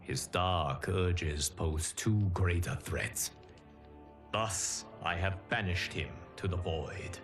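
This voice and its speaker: A low-voiced, stern guardian speaks with slow, weighty solemnity. His formal, measured phrases unfold like a dramatic game chronicle. Loud music swells behind every line. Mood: wary, stern. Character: grave, stern male guardian of the timelines. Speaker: game cutscene narrator. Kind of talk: dramatic scripted narration. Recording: studio voice under loud music. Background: loud cinematic music.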